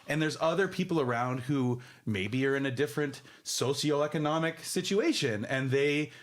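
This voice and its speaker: A man's voice: medium pitch, moderate pace. A man, a real estate agent, telling a story in casual podcast chat; he says he has a big voice.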